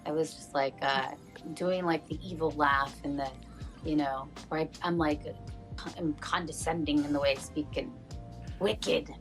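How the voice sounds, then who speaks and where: A woman's voice: sits low, steady tone, moderate pace, rough recording. A woman being interviewed as a voice actor, chatting casually in a playful, self-joking way. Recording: music behind the talk.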